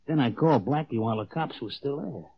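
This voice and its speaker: A man's voice: medium pitch, animated, a little fast, slight background noise, close to an everyday voice. An actor playing a dim, boastful gangster henchman in 1940s radio style, with an American accent and slangy, ungrammatical talk, eager for approval. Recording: muffled tinny old radio recording.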